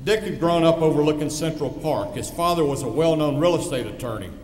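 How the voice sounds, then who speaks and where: A man's voice: fairly high pitch, lively intonation, fairly quick, darkish tone. East Texas man speechmaking in an echoey hall. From East Texas, United States. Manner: folksy, wry storytelling. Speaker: commencement speaker. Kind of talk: prepared speech with personal anecdotes. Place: large hall, speaking at a ceremony. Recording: echoey hall with long reverb.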